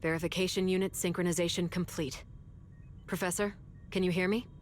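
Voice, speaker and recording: An actress playing a solemn, formal researcher in a video game, stiff and deadpan in her precise delivery. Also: fairly low pitch, brisk, slight background noise, lightly acted. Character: solemn, formal female researcher, unflappably composed.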